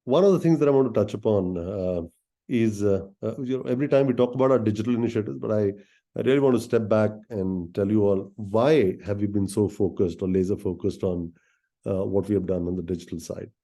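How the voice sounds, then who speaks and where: A man speaking as a company executive in a business presentation, explaining things directly to listeners in halting phrases with many filled pauses. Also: lowish voice, warm tone. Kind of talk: business presentation on an earnings call.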